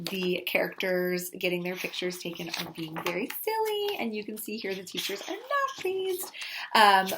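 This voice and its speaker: A woman casually presenting book recommendations in an enthusiastic, gushing way. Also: medium pitch. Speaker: children's book reviewer. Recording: clearly noisy recording with clicks.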